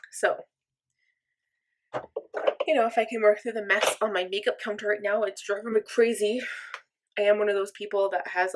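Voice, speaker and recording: A young woman chatting casually while doing her makeup, rambling and self-deprecating, full of "like". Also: medium pitch. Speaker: makeup vlogger.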